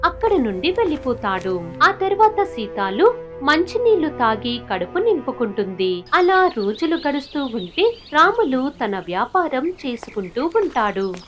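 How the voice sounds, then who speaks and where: A woman's voice: high-pitched, very animated, measured pace, faint music behind. A woman narrating a scripted moral story expressively, as a storyteller does.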